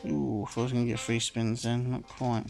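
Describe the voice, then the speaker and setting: A man's voice: mid-range voice, level tone, a little fast, vocal fry. A man casually commenting while playing a slot game, rambling and filling gaps with "sort of" and "like I say", sounding a bit phlegmy. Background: game music.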